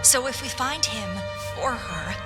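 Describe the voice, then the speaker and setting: A woman's voice: a little high, animated, measured pace. A woman playing an earnest, patriotic young noble lady officer in a fantasy game, speaking formally and persuasively. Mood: explaining. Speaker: voice actor in a fantasy game quest mod. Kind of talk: scripted fantasy game dialogue. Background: background music.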